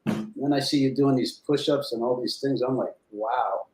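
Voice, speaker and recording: A man's voice: mid-range voice, steady tone, moderate pace, a little mellow, poor audio. A man around sixty chatting as co-host on a livestream, encouraging the host and reading out viewer comments. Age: 60s. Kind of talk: casual livestream chat.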